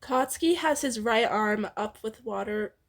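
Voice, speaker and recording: A woman's voice: fairly high pitch, normal speed. A woman reading fan fiction aloud in a casual way, often breaking into giggles and stumbling over the text.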